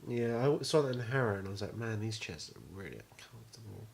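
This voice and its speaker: A man's voice: lowish voice, expressive, fast, creaky, slight background noise. Rambling young British man chatting casually. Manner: rambling, sweary. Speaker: podcast co-host. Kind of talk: casual chat.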